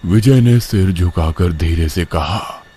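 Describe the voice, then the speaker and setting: Slow, low-voiced male horror narrator. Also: dark tone, slightly rough. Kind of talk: scripted horror story narration.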